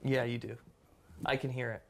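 A man in his forties with a gravelly, mid-pitched voice. He delivers his lines flat and dry, in a casual comedic exchange recorded indoors. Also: normal speed. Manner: deadpan, dry. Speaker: ad copywriter. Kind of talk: comedic scripted banter. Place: living room, indoors.

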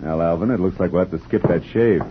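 A man's voice: low voice, fast, background noise, natural voice. An actor playing a wry, hard-boiled male private detective who narrates and wisecracks in a 1950s radio drama. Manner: dry, wisecracking. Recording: dull old radio with music.

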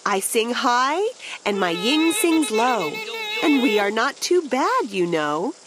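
A woman narrating a rhyming children's picture book in an expressive, deliberate storytelling way. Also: on the high side, very noisy.

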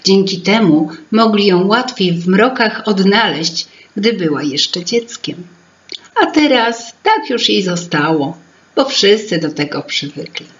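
A woman's voice: mid-range voice, animated, unhurried, lo-fi sound. A middle-aged woman narrating a fairy tale expressively as a storyteller. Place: small indoor room with paintings.

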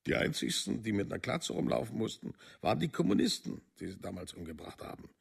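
Very gravelly, husky older father. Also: lowish voice, sing-song, heavily acted. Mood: exasperated. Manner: colloquial, halting, seeking agreement. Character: older East German parent, plain-spoken, exasperated. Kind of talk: documentary radio play monologue.

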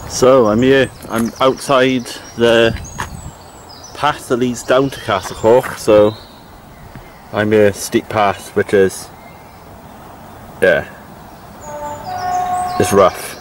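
Chatty young South Welsh man vlogging outdoors. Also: fairly low pitch. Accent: South Wales. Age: 20s. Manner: chatty, informal, thinking aloud. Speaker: hiking vlogger. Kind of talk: casual vlog commentary while walking. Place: outdoors on a woodland hiking trail. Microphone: handheld camera at arm's length. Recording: outdoor walking vlog with music behind. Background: music.

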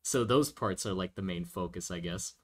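A man's voice: deep voice, moderate pace. A man chatting casually on a livestream while he draws, thinking aloud and answering viewer questions with many restarts. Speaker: streamer drawing a fantasy world.

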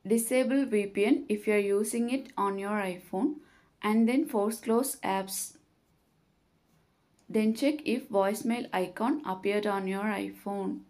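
A woman reading scripted step-by-step tutorial narration in a measured, instructional manner. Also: medium pitch, a little mellow.

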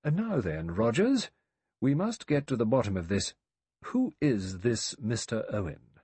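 A man voicing a dry, authoritative elderly judge in an audiobook, questioning with measured precision. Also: mid-range voice, very animated, darkish tone, breathy edge, no echo, exaggerated voice. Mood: methodical, authoritative. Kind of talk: audiobook character voice.

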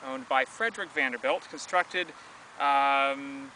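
A man's voice: mid-range voice, unhurried, bright, forceful tone, a little hiss or hum. A young man co-hosting a travel vlog, chatting casually and jokily outdoors on a lawn, recorded on a camera several meters away. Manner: jokey, chatty, trails off with ums. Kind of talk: casual on-location chat. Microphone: camera mic from a few meters away. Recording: distant outdoor camera audio.

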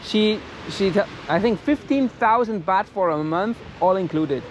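A man's voice: sits high, animated, measured pace. A man from Germany in his forties doing casual street interviews, outgoing and free with swearing. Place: outdoors by a beach. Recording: noisy outdoor recording.

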